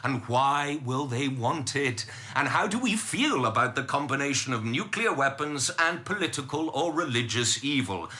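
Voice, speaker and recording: A man acting a British minister making a grave, impassioned speech in a radio drama, solemn and deliberate in his rhetoric. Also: mid-range voice, sharp tone.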